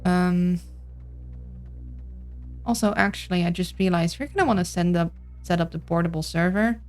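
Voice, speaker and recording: A woman's voice: on the low side, moderate pace. A woman with a Dutch accent giving casual gameplay commentary, rambling and thinking aloud. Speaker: game streamer. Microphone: streaming mic with voice filters. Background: game music.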